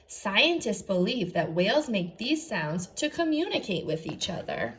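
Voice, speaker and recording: A woman's voice: medium pitch, big pitch swings, unhurried, a bit bright, slight background noise, poor audio. A woman teacher reading aloud to young children, enunciating carefully and adding short explanatory asides. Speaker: first grade teacher.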